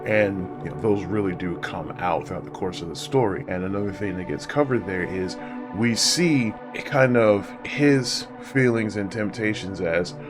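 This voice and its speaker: A man's voice: on the low side, normal speed. A man hosting a casual, conversational review monologue with informal, rambling asides. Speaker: host of an audiobook review series. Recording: music behind the voice. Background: music.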